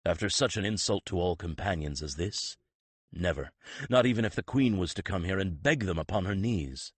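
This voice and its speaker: A man voicing a stern older male weapons master who rasps harshly with oddly inverted phrasing. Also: low voice, expressive, normal speed, no echo. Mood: scornful. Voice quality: rasping, clipped inverted phrasing.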